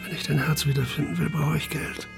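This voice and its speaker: An actor playing a weary, guarded middle-aged writer with terse speech in a naturalistic radio drama. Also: male voice, on the high side, fairly even intonation, a little fast, vocal fry, music in the background. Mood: weary, dry. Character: weary, hesitant middle-aged Dutch writer short of money.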